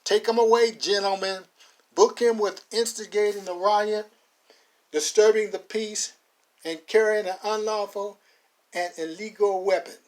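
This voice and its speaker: Stern sheriff played by a man, barked orders, slow and drawn out, highish male voice. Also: low-quality recording. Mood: commanding.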